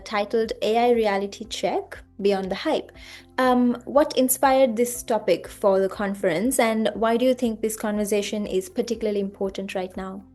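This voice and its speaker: A woman hosting a podcast in a fairly high voice, formal and polite, working through a prepared script and her questions. Faint music plays softly under her. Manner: reads from a script, polite and formal, with some filler ums. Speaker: podcast host.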